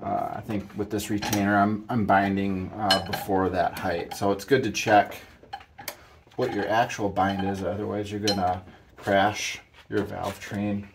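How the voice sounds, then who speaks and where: A middle-aged man with a fairly low voice talks through a hands-on mechanical job. He speaks casually and haltingly, thinking aloud as he goes. There is faint noise from the workshop around him. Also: flat delivery, creaky. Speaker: hobbyist mechanic demonstrating a repair. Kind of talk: casual hands-on how-to narration. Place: home garage workshop, indoors.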